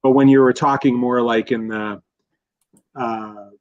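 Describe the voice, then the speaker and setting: Brief: man, middle pitch, rambling podcast guest, slightly dull lo-fi sound. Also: steady tone, unhurried, a little mellow. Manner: rambling, many restarts and fillers. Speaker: guest commentator. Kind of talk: casual podcast discussion.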